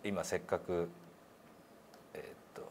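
A man's voice: deep voice, level tone, slow, a little hiss or hum. A middle-aged man coaching English pronunciation in a casual, hands-on way, demonstrating sounds in a meeting room. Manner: demonstrates single sounds and words, drawn out. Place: small meeting room, indoors.

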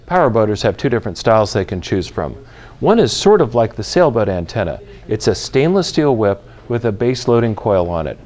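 Male product presenter, 50s, slightly low pitch, methodical instructional delivery. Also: a little mellow. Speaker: product presenter in a buyer's guide. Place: large retail store, indoors. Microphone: lapel mic.

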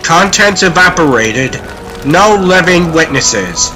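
A man's voice: on the high side, animated, takes it slowly, music in the background, voice pushed up. A man voicing a businesslike field agent in a comic dub, giving terse official reports. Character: clipped, businesslike male villain-organization field agent.

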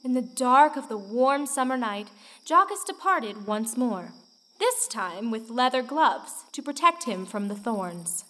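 A woman's voice: fairly high pitch, expressive, unhurried, fairly bright tone. A woman narrating a folktale audio play as a dramatic storyteller. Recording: long reverb, music underneath.

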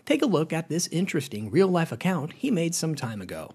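A man's voice: a little high, brisk, a little hiss or hum. A man with an American accent narrating a finance explainer in a chatty scripted voice-over, talking to viewers as guys. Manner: chatty, addresses viewers as guys, lively rises.

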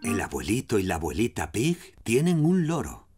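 A man's voice: fairly low pitch, huge pitch swings, normal speed. A man as the friendly storybook narrator of a preschool cartoon, reading scripted lines. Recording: loud cartoon music behind.

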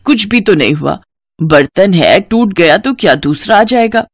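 A woman voicing a gentle, doting elderly mother-in-law who soothes affectionately in a cartoon story. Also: medium pitch, expressive, normal speed, dry recording, clean studio sound. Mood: tender.

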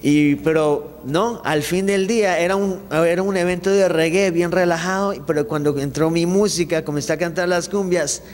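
A man's voice: high-pitched, normal speed, hardly any pauses, big-room sound, slight background noise. A young man from Ecuador, a singer, chatting animatedly in a studio interview into a handheld mic, often restarting his sentences. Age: young adult. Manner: animated, gestures with his hands, many restarts. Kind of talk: casual interview chat. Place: small studio set, indoors. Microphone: handheld mic held by the interviewer.

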